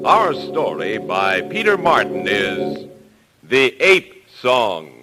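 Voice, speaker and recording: A man's voice: mid-range voice, very animated, slow. A man announcing and narrating in an ominous, theatrical 1940s radio horror style. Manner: theatrical, portentous. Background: music.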